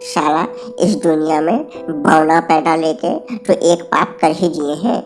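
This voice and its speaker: A woman's voice: deep voice, flat delivery, unhurried. A woman voicing a reluctant, grumbling little male cartoon dwarf, comically aggrieved, in colloquial Bihari-accented Hindi. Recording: faint background music.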